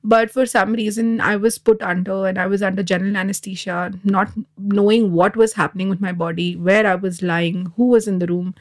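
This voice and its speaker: A woman's voice: mid-range voice, steady tone, fairly quick, hardly any pauses. Woman, thirties, from Dubai, mid-range voice, candid, reflective, personal storytelling, interview guest. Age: 30s. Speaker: podcast guest, artist.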